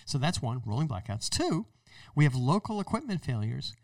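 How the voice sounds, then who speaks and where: Animated American man, slightly off-mic. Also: mid-range voice, normal speed, no echo, distant mic. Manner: emphatic, conversational, acts out imagined remarks. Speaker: podcast host. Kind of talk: explanatory radio-style talk.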